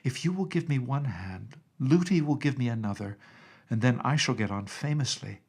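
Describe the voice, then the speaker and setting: An eager little storybook princess, as a male narrator plays her, with a raised, slightly husky voice. She speaks with childlike, pleading directness, innocent and affectionate but stubborn. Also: mid-range voice, animated, normal speed, pitched above the performer's own voice. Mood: earnest.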